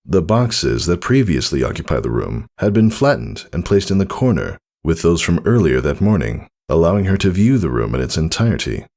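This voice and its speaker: Low-voiced man narrating a story. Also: big pitch swings, normal speed, hardly any pauses, a little mellow. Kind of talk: scripted fan fiction narration.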